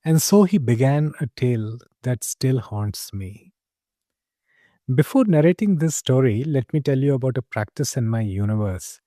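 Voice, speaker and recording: A man playing a genial, mysterious tavern keeper who tells fantasy tales in a deliberate, dramatic, confiding manner, as scripted first-person narration. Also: mid-range voice, lively intonation, mellow, no echo, studio quality, close to an everyday voice. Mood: hushed, intrigued.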